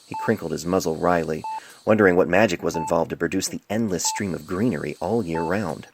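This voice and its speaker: Low, gravelly man narrating a story. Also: a little fast. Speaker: amateur story narrator and author. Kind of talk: scripted dramatic story narration. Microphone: cell phone microphone. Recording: noisy amateur cell phone recording.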